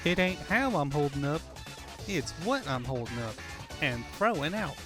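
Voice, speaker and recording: A man's voice: fairly high pitch, sing-song, normal speed, music in the background. A man voicing a sassy, folksy young Southern rabbit woman in a cartoon-style comic dub. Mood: playful. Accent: American South. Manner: folksy, drawling.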